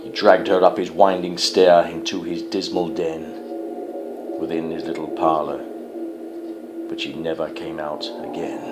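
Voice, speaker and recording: A man narrating a storybook poem in a measured, theatrical style. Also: rumbling low, level tone. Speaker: poetry reader, narrator. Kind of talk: dramatic storybook poem recitation. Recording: music behind the voice.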